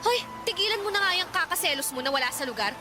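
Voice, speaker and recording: A woman's voice: extremely high pitch, expressive, fast, over music. A woman acting a feisty, taunting young romantic rival in a Filipino radio drama, with a Filipino accent and a mocking, confrontational manner. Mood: scornful, mocking. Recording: noisy ambient sound behind dialogue.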